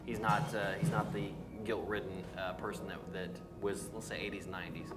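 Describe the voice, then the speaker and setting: A man's voice: low-pitched, level tone, a little fast, music in the background. A middle-aged man hosting a casual entertainment news chat, with a dry, sarcastic manner. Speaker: geek talk show host. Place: indoor room, panel around a table. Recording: roomy echo, faint background noise.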